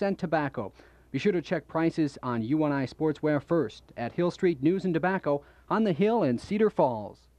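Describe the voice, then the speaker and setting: A man's voice: a little high, a little mellow, no room sound. A man reading a scripted radio commercial as an announcer. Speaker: advertisement announcer.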